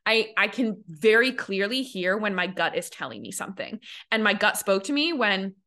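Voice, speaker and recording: A woman's voice: medium pitch, big pitch swings, normal speed, sharp tone. A young woman hosting a podcast as a money coach, talking casually with lots of "like" fillers and acting out the voices she quotes. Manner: chatty, many "like" fillers, acts out quoted voices.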